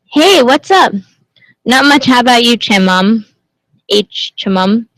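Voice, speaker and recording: A woman's voice: on the high side, lively intonation, takes it slowly, fairly bright tone, dry recording, rough recording. A young woman who grew up in Indonesia, hosting a casual livestream and chatting with viewers, greeting them and asking lots of questions. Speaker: livestream host.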